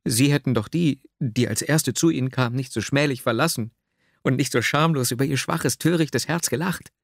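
A man's voice: medium pitch, normal speed, vocal fry, no echo, clean studio sound, pitched above the performer's own voice. A man voicing a distraught, jilted young woman in an audiobook, emotional and pleading, given to exclamations. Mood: tearful pleading. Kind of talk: dramatic literary audiobook reading.

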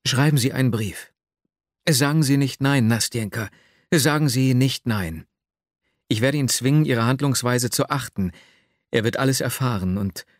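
A man's voice: mid-range voice, normal speed, no room sound, pitched above the performer's own voice, natural voice. Lovesick, self-doubting young man for a literary audiobook, acted by a male reader: earnest, urgent, medium register, very dry recording. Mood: urgent, insistent. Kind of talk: dramatic literary audiobook reading.

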